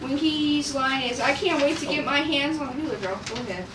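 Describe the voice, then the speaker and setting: A woman with a fairly high voice reads script directions informally, with giggly asides. She is in an echoey room, away from the microphone, with clear background noise. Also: a little fast. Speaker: table read leader reading stage directions. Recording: noisy echoey room, distant mic.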